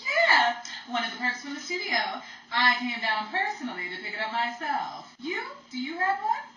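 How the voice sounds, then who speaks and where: A woman's voice: high-pitched, big pitch swings, moderate pace, sharp tone, distant mic, a little hiss or hum, heavily acted. A woman acting as a flighty, overconfident Hollywood starlet in a 1940s-style radio comedy, theatrical and exclamatory. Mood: breezy. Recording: echoey room, away from mic.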